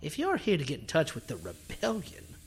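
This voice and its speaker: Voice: mid-range voice, wildly swooping pitch, a little fast, a little hiss or hum. An actor playing a proud, bitter alien scientist in a sci-fi audio drama, speaking in a haughty, theatrical, precise and formal way. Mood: sly, measured. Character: proud, bitter alien scientist who builds biohybrid creatures. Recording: music under the voice.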